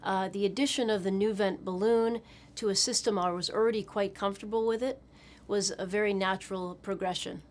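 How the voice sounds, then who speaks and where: Woman, forties, surgeon, measured, clinical, explanatory, medium pitch. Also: steady tone. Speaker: otolaryngologist. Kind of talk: interview to camera.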